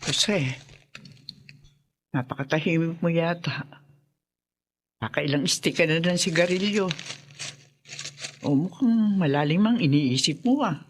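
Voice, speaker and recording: An actress playing a concerned, discreet older mother and wife in a radio drama, with a folksy, expressive manner. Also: low voice, slow, long pauses, a little hiss or hum. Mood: concerned, startled.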